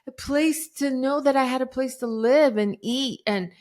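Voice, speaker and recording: A middle-aged woman, a life coach guest on a podcast, telling her story in a lively, dramatic way. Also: sits high, moderate pace. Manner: animated, dramatic retelling with acted-out quotes. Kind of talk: casual interview storytelling.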